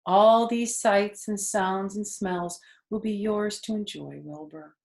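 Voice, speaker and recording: Lady doing tired gentle mama spider from a kids book, calm n wise, real slow, roomy echo. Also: mid-range voice, vocal fry. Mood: tired, peaceful. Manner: calm, gentle, measured, matter-of-fact. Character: tired, serene, wise motherly female spider. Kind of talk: children's storybook character reading.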